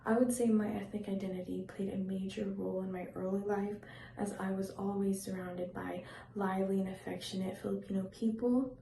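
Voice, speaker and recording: A woman's voice: mid-range voice, flat delivery, fairly quick, creaky, mellow, echoey room, poor audio. A woman raised in Texas fondly recalling her childhood culture. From born in Florida, raised in Texas. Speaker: interviewee. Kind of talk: personal recollection.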